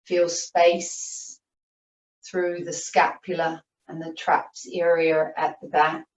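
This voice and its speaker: A woman yoga teacher leading a guided meditation, giving soothing, unhurried instructions with long pauses. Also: low voice, flat delivery. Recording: roomy, distant, muffled video-call audio.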